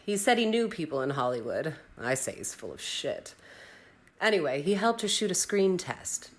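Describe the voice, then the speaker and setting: A woman's voice: low voice, very animated, normal speed. An actress playing a blunt, cynical woman jaded by Hollywood in an audio drama. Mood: dismissive. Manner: blunt, dismissive, world-weary.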